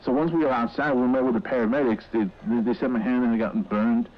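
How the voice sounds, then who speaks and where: A man's voice: medium pitch, steady tone, natural voice. Man acting shaken witness on lo-fi audio. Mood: shaken, bewildered. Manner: halting, rambling, repeating words. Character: shaken male mall worker recounting a horrific incident. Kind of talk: horror audio drama, informal witness account.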